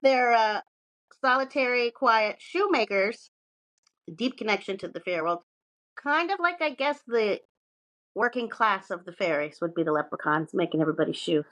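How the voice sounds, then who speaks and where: A woman with a medium-pitched voice presents her research on a casual podcast. She moves between reading notes and chatty, irreverent asides with some swearing and self-mockery. The sound is dry, with very little room to it. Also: lively intonation, moderate pace, a bit bright, no room sound.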